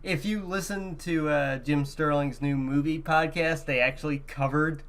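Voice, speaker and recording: A man with a fairly high voice talks as a podcast co-host. His delivery is casual and conversational, with informal, unpolished phrasing. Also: steady tone, moderate pace, a bit bright. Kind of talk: casual chat about video games and movies.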